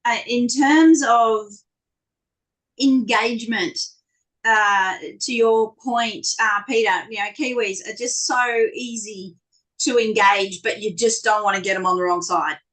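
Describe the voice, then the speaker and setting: A woman with a strong Australian accent speaking on a live online panel, telling animated, self-deprecating stories. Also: a little high, measured pace, rough recording.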